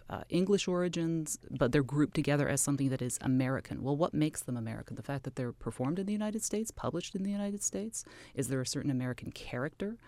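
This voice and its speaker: A woman's voice: extremely low pitch, dark tone, no room sound. A woman from Canada, an author and music scholar, answering questions as a radio interview guest in a thoughtful, explanatory way.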